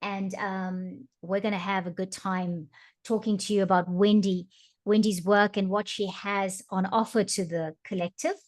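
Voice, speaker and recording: A woman hosts an online interview show and welcomes her audience and guest. She speaks in a bright, friendly way, often pausing on filler ums. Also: mid-range voice, level tone. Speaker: host.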